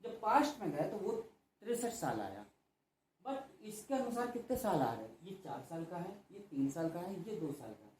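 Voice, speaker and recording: A man's voice: sits high, sing-song, normal speed, a little mellow, a little hiss or hum. A man teaching a math lesson, drilling students by repeating each question and answering it himself. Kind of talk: classroom math lecture. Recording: very echoey room, mic far off.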